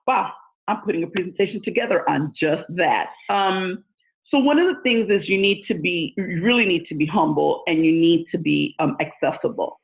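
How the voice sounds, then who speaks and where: A woman's voice: lowish voice, normal speed, gravelly. A woman in her forties whose first language is Spanish, earnestly answering interview questions with frequent fillers. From United States. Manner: earnest, thoughtful, many fillers. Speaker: guest, education leader. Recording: tinny phone line.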